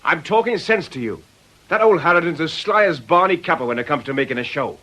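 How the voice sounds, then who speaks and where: A man's voice: on the high side, animated, brisk, pushed and bright. An actor playing a sly, blustering man from a shady club, cajoling and mocking in colloquial radio drama speech. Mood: dismissive.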